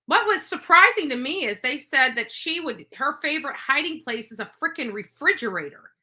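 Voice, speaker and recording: Bright, forceful, higher-pitched woman commentator. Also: expressive, moderate pace. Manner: emphatic, accusatory, repeats phrases for force. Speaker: livestream commentator on true crime cases. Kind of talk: unscripted opinionated livestream commentary.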